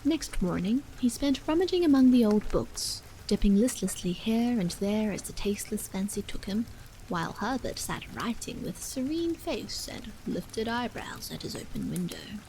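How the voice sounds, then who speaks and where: A woman reading a novel aloud as a bedtime ASMR story, soft and hushed, with some phrases trailing into a whisper. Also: mid-range voice, moderate pace, a little hiss or hum, low-quality recording. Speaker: storyteller, reader. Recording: steady rain sound over the voice. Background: rain.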